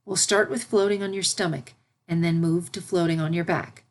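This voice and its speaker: A regal pony princess from a storybook, as a woman reader plays her, with a slightly low voice. She is a gentle mentor who instructs patiently and reassures. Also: fairly even intonation, moderate pace, lightly acted. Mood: patient, instructive. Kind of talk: animated fan fiction character reading.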